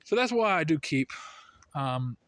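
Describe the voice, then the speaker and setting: A man's voice: a little high, sing-song, measured pace, a bit bright, no echo. Earnest American man rambling about faith. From United States. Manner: earnest, rambling, heavy with "you know" and "I mean" fillers. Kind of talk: casual religious commentary.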